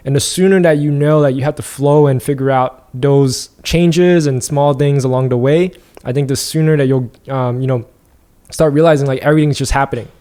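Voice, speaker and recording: A man talking as a podcast guest, reflective and laid back, with lots of "like" and "um" fillers. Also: mid-range voice. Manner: relaxed, reflective, many fillers like "like" and "um". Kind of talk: casual podcast conversation.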